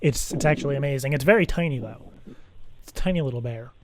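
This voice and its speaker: A man playing an earnest, anxious divorced dad in an improvised prank phone call, rambling and repeating himself haltingly. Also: medium pitch, darkish tone, slight background noise, close to an everyday voice. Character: earnest, anxious divorced dad seeking a teddy-bear sitter. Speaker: prank caller.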